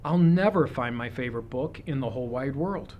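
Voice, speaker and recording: A man reading a children's picture book aloud, with expressive, deliberate phrasing suited to young listeners. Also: medium pitch, muffled recording, noisy background. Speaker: storybook reader.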